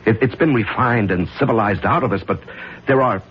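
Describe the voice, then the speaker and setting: An actor with an American accent playing a smooth, patronizing middle-aged judge with a dark secret, in 1970s radio drama style. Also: male voice, on the low side, fairly quick, natural voice. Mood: intense persuasion. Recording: dull, noisy old radio broadcast.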